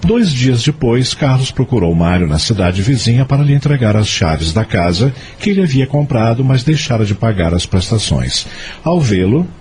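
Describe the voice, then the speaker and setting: A man narrating an audio novel from a script in a measured, literary storytelling way. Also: mid-range voice, sing-song, a little mellow. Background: music.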